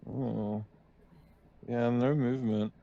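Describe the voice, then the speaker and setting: A man's voice: medium pitch, fairly even intonation, takes it slowly, vocal fry, warm tone. A man chatting casually and somewhat hesitantly as a player in a tabletop roleplaying game. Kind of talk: casual chat during a game. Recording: dull lo-fi mic, faint noise.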